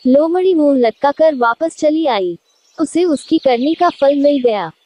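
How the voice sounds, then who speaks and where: A woman with a high voice narrates a scripted story for children. She speaks as a storyteller presenting a fable. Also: lively intonation, measured pace, mellow.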